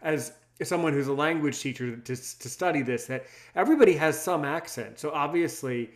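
A man's voice: fairly high pitch, expressive, normal speed. A man from Maryland hosting a podcast, talking in a rambling, teasing way and often repeating his words. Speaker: podcast host. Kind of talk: casual interview chat.

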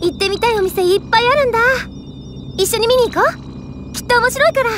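A woman voicing a friendly, headstrong teenage heroine with casual, expressive speech in a game drama. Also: extremely high pitch, fast talker, noisy background. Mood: lively, coaxing. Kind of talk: Japanese game audio drama acting. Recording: music behind the voice.

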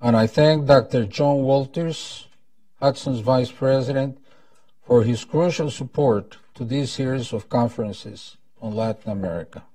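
A man's voice: medium pitch, unhurried, warm tone, no echo, distant mic. A man in his seventies with a Costa Rican Spanish accent, giving a formal, solemn welcome speech as event host. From Costa Rica. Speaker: event host, former ambassador. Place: indoor conference room at a lectern. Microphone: lapel mic.

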